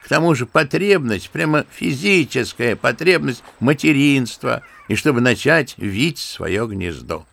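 A man's voice: mid-range voice, animated, measured pace, creaky, hardly any pauses, a bit bright, exaggerated voice. An actor playing an embittered, talkative old grandfather in an audio drama of a literary story, telling things theatrically and savouring his words. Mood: wry, lecturing. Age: older.

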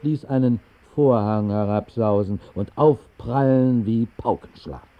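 A whimsical storyteller acted by a man recounts a dream in ornate, mock-solemn style. His voice is mid-pitched. It comes through a thin, phone-like old radio recording. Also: a little slow, lightly acted. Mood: wry, dramatic. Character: whimsical, tipsy Berlin night-owl narrator recounting his absurd dream. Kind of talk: literary radio-play narration.

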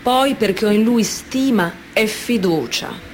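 An actress playing a proud, devoted mafia boss's wife in a measured, emphatic radio monologue. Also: female voice, mid-range voice, lively intonation, a little hiss or hum, natural voice. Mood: devoted, resolute.

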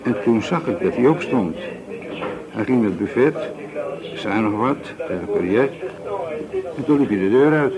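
An actor playing a self-righteous, bossy café owner in a radio drama, grumbling and gossipy, with a gravelly voice. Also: male voice, medium pitch, expressive, moderate pace, pauses often, close to an everyday voice, low-quality recording. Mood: confiding. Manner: grumbling, hesitant fillers, seeks agreement. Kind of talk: naturalistic radio drama dialogue. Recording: busy crowd noise around the voice. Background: café chatter.

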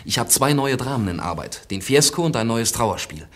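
An actor playing an idealistic, confident young poet and army doctor in a radio drama, speaking earnestly and with spirit. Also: male voice, medium pitch, animated, moderate pace, a bit bright, close to an everyday voice. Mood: confident, scheming.

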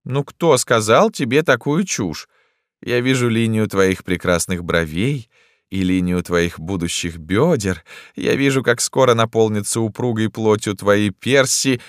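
A man voicing a lecherous, pompous emperor in an audiobook, cooing and grandiose, with drawn-out exclamations. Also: lowish voice, no echo, studio quality, pitched above the performer's own voice, close to an everyday voice. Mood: seductive. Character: theatrical lecherous emperor, wheedling, grandiose, gallant and menacing.

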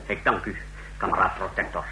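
A man's voice: sits low, fairly even intonation, brisk, bright, forceful tone, a little hiss or hum, poor audio. An actor playing a hesitant secret police chief in a radio play, deferential to his ruler. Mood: nervous, submissive.

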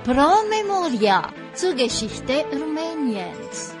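A woman playing a formal narrator, deliberately reading a historical memorandum in a documentary radio play. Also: high-pitched, sing-song, loud music behind the voice, heavily acted. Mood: measured, formal.

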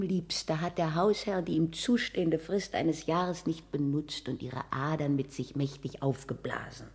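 A woman's voice: mid-range voice, darkish tone, close to an everyday voice. An actress playing a worldly, conspiratorial neighbour woman in a 1960s German radio play, insinuating, florid and emphatic as she eggs someone on.

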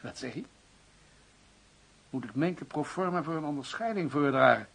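A man playing a composed, scheming police chief with a measured official manner in a radio drama. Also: fairly high pitch, animated, gravelly, a bit bright, breathy edge, exaggerated voice. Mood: confidential, satisfied.

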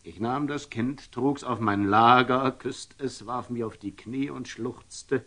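An actor playing a grave, pious, devoted father in a classical radio drama, declaiming measured verse. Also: mid-range voice, pushed and bright, exaggerated voice. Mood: grieving, hushed.

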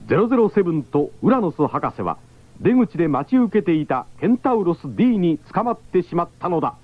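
A man's voice: sits high, sing-song, quick pace. A man narrating a radio drama in a dramatic, scripted style. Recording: dull 1979 radio broadcast.

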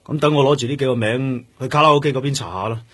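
Actor as a husky, brooding Hong Kong detective. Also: male voice, medium pitch, steady tone, quick pace, a bit bright, close to an everyday voice. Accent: Hong Kong Cantonese. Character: brooding, driven police detective haunted by an uncaught killer. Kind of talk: radio drama acting.

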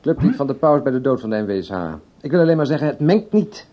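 A man's voice: medium pitch, sing-song, fast, muffled recording, close to an everyday voice. An actor playing a wry, worldly man in a radio drama, with a dry, ironic way of talking. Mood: exasperated. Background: loud music.

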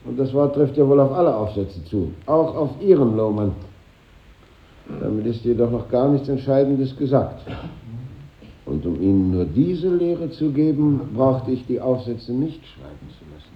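Formal schoolmaster in an echoey room. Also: male voice, medium pitch, very animated, normal speed. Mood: schoolmasterly, dry. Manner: formal, didactic. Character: schoolmaster, formal and gently lecturing his class. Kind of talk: 1950s radio drama acting. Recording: muffled echoey old radio recording.

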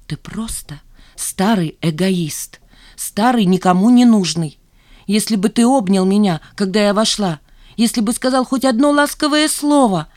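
An indignant woman in her thirties, played by a female narrator, speaks up high and loud with wounded reproach. Every phrase is heated and emphatic, in a dry, close audiobook recording. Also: measured pace, no room sound, lightly acted. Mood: hurt, reproachful. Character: hurt, indignant woman of thirty-two confronting her father. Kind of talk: audiobook character dialogue.

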